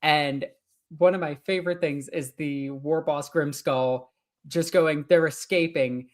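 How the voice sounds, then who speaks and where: A woman from Iceland with an Icelandic accent, co-hosting a casual podcast as an enthusiastic, gushing fan who is quick to laugh. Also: deep voice, steady tone. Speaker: podcast co-host. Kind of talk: casual chat.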